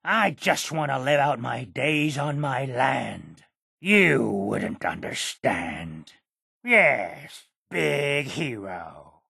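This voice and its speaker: A cantankerous old man from a video game, stubborn and sarcastic. His voice is gravelly and hoarse-edged, and it swings widely in pitch as he bristles with indignation. He takes his time over his words. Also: very animated, extremely slow, sharp tone, dry recording, studio quality, heavily acted. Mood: bitter, grudging. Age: elderly. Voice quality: gravelly, raspy. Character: stubborn, cantankerous old hermit uncle guarding his homestead. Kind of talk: video game character dialogue.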